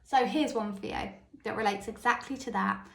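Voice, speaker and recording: A woman with a high, light voice hosting a solo self-help podcast. She tells personal stories and keeps checking in with the listener. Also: moderate pace, vocal fry, a bit bright. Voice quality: high, light voice that others called squeaky. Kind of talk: solo motivational podcast monologue. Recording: echoey room.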